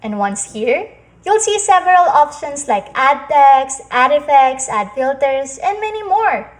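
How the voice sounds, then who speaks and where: High-pitched woman narrating a lively tutorial. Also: a little slow. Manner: instructional, step-by-step. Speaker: tutorial host.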